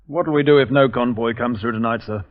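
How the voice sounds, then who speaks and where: An actor playing a plain-spoken, deferential army sergeant with folksy talk, in 1950s radio drama style. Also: male voice, mid-range voice, fairly quick, close to an everyday voice. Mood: uneasy. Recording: muffled vintage radio recording.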